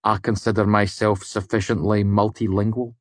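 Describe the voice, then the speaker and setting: A man's voice: fairly low pitch, level tone, normal speed, no room sound, voice pushed up, close to an everyday voice. A man voicing a sour, proud young male student in an audiobook story.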